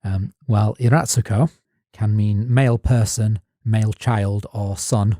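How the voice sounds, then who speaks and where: A man co-hosting a podcast, reading his prepared notes as measured narration. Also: lowish voice, fairly even intonation, warm tone, dry recording. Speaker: podcast co-host. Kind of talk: scripted narration.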